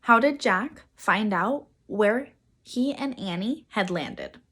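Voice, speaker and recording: Slow, expressive woman reading a storybook aloud. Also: medium pitch, breathy edge.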